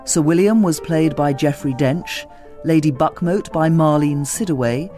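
Brief: radio announcer, mellow and formal, reading credits. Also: male voice, a little high, measured pace, faint music behind. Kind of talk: scripted radio cast credits.

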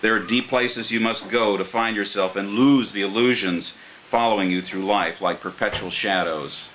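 An older American man reading his poetry live at a microphone, in a measured, earnest way. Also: fairly low pitch, fairly bright tone, poor audio. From Martins Ferry, Ohio, United States. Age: older, around 60. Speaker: poet reading his own work. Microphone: microphone on a stand. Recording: clear background hiss.

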